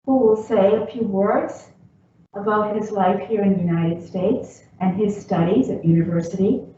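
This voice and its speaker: Woman, host introduction, deliberate rhetorical phrasing, very reverberant, muffled distant recording with faint noise. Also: medium pitch, fairly even intonation, mellow. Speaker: event host introducing a guest speaker. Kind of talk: formal spoken introduction. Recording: echoey room from far off, muffled, faint noise.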